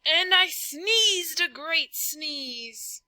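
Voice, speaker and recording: A woman's voice: very high-pitched, animated, very slow, pushed and bright, no echo, voice pushed up, exaggerated voice. A woman reading a storybook as a male wolf who tells his side in a conversational, mock-sincere way. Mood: mock-innocent. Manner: conversational, mock-sincere, addresses listener directly. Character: self-justifying male storybook wolf, folksy, playing innocent. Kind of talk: expressive read-aloud storytelling.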